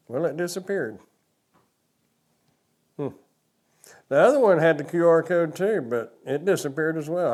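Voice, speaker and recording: A man's voice: a little high, darkish tone. An older man reviewing a product hands-on in a casual, plain-spoken way, explaining things step by step.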